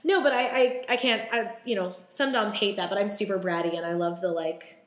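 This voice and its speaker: A young woman in her twenties, a podcast guest talking candidly and haltingly, with lots of fillers and restarts. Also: mid-range voice, steady tone. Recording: roomy echo.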